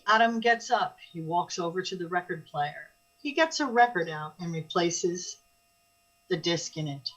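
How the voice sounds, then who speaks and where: A woman's voice: on the low side, animated, unhurried, fairly bright tone, rough recording. A woman reading scripted narration as the narrator of a radio drama.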